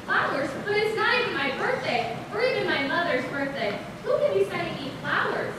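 A coy, doting housewife played by a woman in an old-time radio comedy, theatrical and exclamatory. Her high voice swings widely in pitch and sounds distant on a noisy stage recording. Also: lively intonation, brisk, very echoey. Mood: surprised. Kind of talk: 1940s radio comedy acting. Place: school theatre stage, standing microphones.

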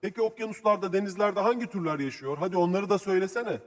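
A high, fast-talking male cartoon voice acted by a man with long reverb around it. He plays an inquisitive, enthusiastic young co-host presenting to children. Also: lightly acted. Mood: curious. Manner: inquisitive, eager, addressing children. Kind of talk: children's cartoon educational dialogue.

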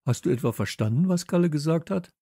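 A man's voice: on the high side, lively intonation, quick pace, dark tone, breathy edge, no echo, clean studio sound, voice pushed up. A man voicing a brash, slangy boy with a blunt, exclamatory manner in a children's audiobook. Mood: excited, loud.

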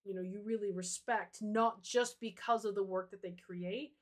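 Woman host with a medium-pitched voice. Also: fairly even intonation, moderate pace. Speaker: livestream host. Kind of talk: casual conversational interviewing. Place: indoor room on a video call, beside a co-host.

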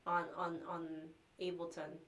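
A young woman whose first language is Korean, sick with the flu, chatting with livestream viewers in a small room, halting and restarting often with light laughs. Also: lowish voice, little pitch movement, fairly bright tone, a little hiss or hum. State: has the flu. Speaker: cellist streamer. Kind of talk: casual livestream chat reading viewer messages. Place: small practice room indoors.

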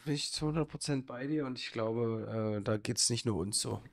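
A man's voice: medium pitch, normal speed, vocal fry. A young man with a Berlin accent, co-hosting a casual podcast in a studio, telling jokey stories into a handheld mic. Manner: joking, animated storytelling. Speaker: podcast co-host. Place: studio with green plant wall. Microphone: handheld dynamic mic.

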